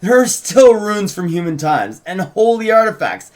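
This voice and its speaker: A man's voice: high voice, big pitch swings, moderate pace, a little mellow, voice pushed up. A man reading the part of a supportive, hopeful teenage girl in a fantasy script, earnest and encouraging. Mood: insistent.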